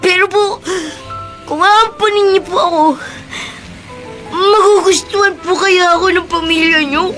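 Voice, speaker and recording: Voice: extremely high pitch, fairly even intonation, a little slow, long pauses, bright, forceful tone, faint music behind, heavily acted. An actor playing a talkative, eager young street boy in a radio drama, speaking politely and earnestly.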